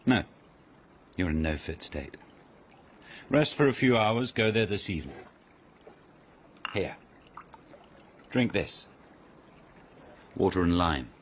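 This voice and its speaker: An actor playing a sardonic, imperious aristocratic lord in a radio period mystery, with a pompous, commanding manner. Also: male voice, deep voice, animated, slow pace, pauses often, slight background noise. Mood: measured, conspiratorial. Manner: commanding, pompous, drawling scorn.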